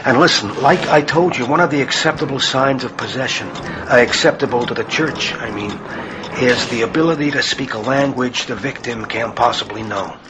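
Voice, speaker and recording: An actor playing an earnest, troubled priest with a probing, insistent manner in a radio horror drama. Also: male voice, medium pitch, moderate pace, close to an everyday voice. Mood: thoughtful, probing. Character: earnest, troubled priest and psychiatrist investigating possession. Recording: noisy radio-play recording.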